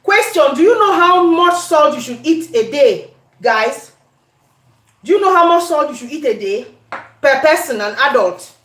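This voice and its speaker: A woman with a Cameroonian accent chatting casually to viewers as she cooks, animated and conversational, often repeating her questions and phrases. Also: high-pitched, a little slow. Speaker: cooking vlogger. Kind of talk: casual live chat while cooking. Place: indoor home kitchen. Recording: echoey room.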